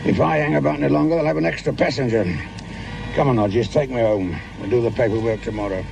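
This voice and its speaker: An actor playing a grumbling, sardonic, corner-cutting British police sergeant in a radio drama, full of world-weary asides. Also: male voice, mid-range voice, fairly even intonation, fast, gravelly, music in the background, lightly acted. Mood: wry, brisk.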